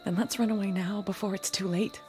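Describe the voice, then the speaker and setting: Actress as an ardent young bride-to-be, music behind. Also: female voice, mid-range voice, fairly even intonation, brisk, lightly acted. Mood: tender, confiding. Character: young bride-to-be, impulsive, ardent, privileged only daughter. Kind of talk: dramatic radio play acting.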